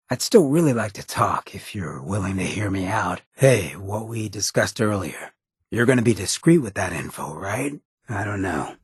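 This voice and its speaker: A male actor voicing a roguish, streetwise ghoul companion in a video game, blunt but loyal, with a gravelly rasp and colloquial speech. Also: on the low side, no room sound, clean studio sound, full character voice. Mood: bitter, reflective. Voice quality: raspy, gravelly. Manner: streetwise, colloquial, swearing drawl. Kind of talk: video game dialogue acting.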